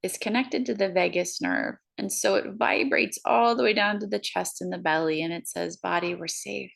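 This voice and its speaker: A woman guiding a breathing meditation, counting slowly in a soft, soothing way. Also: medium pitch, creaky, low-quality recording. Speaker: meditation guide.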